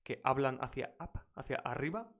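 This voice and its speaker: Spanish-born English teacher on a live stream, British-leaning accent, lively chatty delivery, mid-pitched voice. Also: male voice, quick pace. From Spain. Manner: energetic, chatty, reacting to clips.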